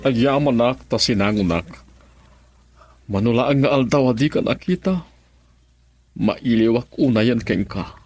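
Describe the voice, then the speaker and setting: Man acting a gentle, coaxing father, radio drama. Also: medium pitch, expressive, unhurried, long pauses, soft background music, lightly acted. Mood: tender, pleading. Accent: Filipino. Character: gentle, longing father coaxing his daughter to marry.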